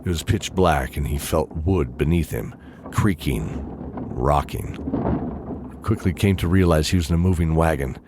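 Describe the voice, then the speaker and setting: A man narrating a scripted fantasy drama in measured, dramatic phrases with deliberate pauses. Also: rumbling low, background noise.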